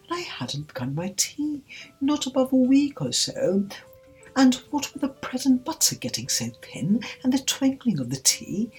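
A woman's voice: a little high, big pitch swings, unhurried, a little mellow, soft background music, pitched above the performer's own voice, low-quality recording. A woman voicing a timid, wretched male hatter in a storybook, nervous and pleading, with a trembling voice.

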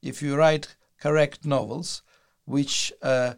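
A man from Ukraine, a Russian speaker, answering interview questions in deliberate, hesitant phrases with repeated words. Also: medium pitch, steady tone, vocal fry, smooth. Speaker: writer being interviewed.

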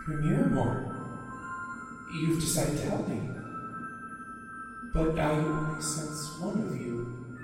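Earnest young alien, formal and halting. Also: male voice, a little high, lively intonation, over music. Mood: hopeful, curious. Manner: formal, halting, measured phrasing. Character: earnest young male alien, gentle, anxious, formal, speaks in third person. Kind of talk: sci-fi audio drama acting.

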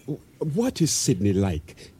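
A man acting a cautious, law-abiding island provisioner in a radio drama, questioning and expressive, in formally phrased speech. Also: medium pitch, takes it slowly, husky, full character voice. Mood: curious, guarded.

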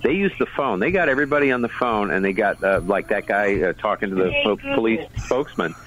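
A man's voice: lowish voice, animated, quick pace, a crowd in the background, poor audio. A man on a radio panel, eagerly correcting dates and recalling old news practice over a video call. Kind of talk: casual panel chat. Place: Boston area.

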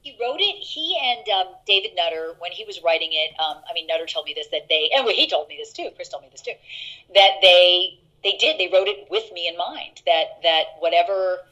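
A middle-aged actress telling stories in an interview, chatty and laughing, with many fillers and restarts. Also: female voice, lively intonation, normal speed, bright, forceful tone, highs cut off. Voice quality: deeper voice. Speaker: actress guest. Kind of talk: casual interview anecdotes.

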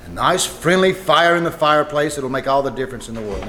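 A man's voice: mid-range voice, lively intonation, quick pace, sharp tone. A man playing a blustering, impatient but reassuring father in an old-time radio comedy on stage. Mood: brisk, irritated. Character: exasperated, stubbornly reassuring sitcom father. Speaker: amateur radio troupe actor. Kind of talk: old-time radio comedy acting before a live audience. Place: live performance at a winery. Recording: noisy, echoey live stage recording.

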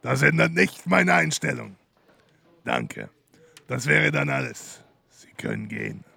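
A man playing a gruff, sarcastic police detective with a gravelly, husky voice in a German crime audio drama. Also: mid-range voice, huge pitch swings, a little slow, bright, forceful tone, no room sound, exaggerated voice. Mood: cynical, curt.

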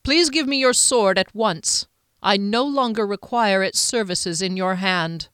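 A woman's voice: mid-range voice, a bit bright, smooth, no room sound, studio quality, natural voice. Woman voicing a cold, stern duke. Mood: formal judgment. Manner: measured, formal, icy delivery. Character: stern, cold, powerful middle-aged male duke.

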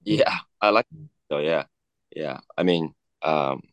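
A man in his thirties from China, a native Cantonese speaker, talking haltingly as an interview guest with many fillers and restarts. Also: extremely low pitch, clear, resonant tone, no room sound. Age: 30s. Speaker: guest, watch collector. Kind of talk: casual interview chat.

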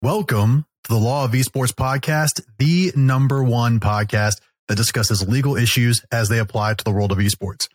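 A man with a Texan accent co-hosting a podcast as an attorney, introducing a guest in a friendly, measured way. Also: mid-range voice, steady tone. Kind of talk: podcast interview.

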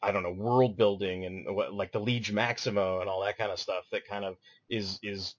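Lower-voiced man co-hosting a podcast. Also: fairly even intonation, normal speed, dry recording, dull audio. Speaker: podcast co-host. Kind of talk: casual chat.

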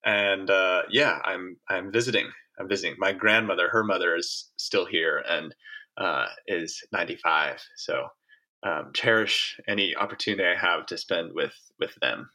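A man's voice: low-pitched, moderate pace, pushed and bright, poor audio. A man from Hong Kong who was raised there, a farmer being interviewed, talking casually in long, rambling answers full of fillers and restarts.

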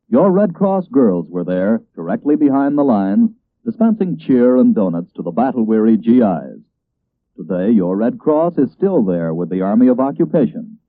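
A man's voice: mid-range voice, no room sound. A male announcer reading a scripted 1940s radio appeal in a formal, emphatic manner. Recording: narrow-band vintage radio broadcast.

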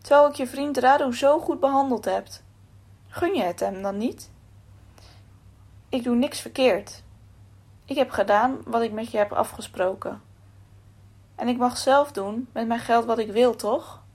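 Woman as a calm male boss, patient, reasoning, light voice, storybook reading. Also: a little high, measured pace, pauses often.

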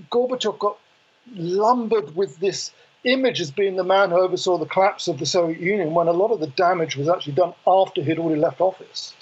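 High, husky man on a dull line. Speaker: foreign correspondent. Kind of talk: live unscripted news analysis.

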